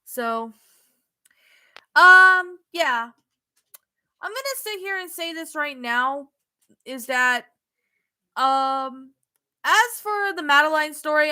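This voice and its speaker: High bright Canadian woman streamer. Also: animated, takes it slowly, pauses often. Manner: hesitant, many ums and restarts. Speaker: streamer reading chat. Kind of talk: casual live stream chat.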